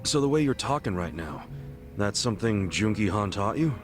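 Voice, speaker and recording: A man's voice: on the low side, sing-song, moderate pace, music in the background. A man voicing a blunt, curious, easygoing friend in a video game cutscene, talking in a casual, slangy way. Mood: curious, friendly.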